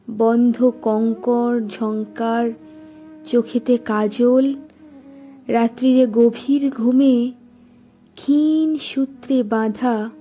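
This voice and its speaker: A woman reciting poetry in a dramatic style, with measured, deliberate phrasing and expressive pauses. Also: a little high.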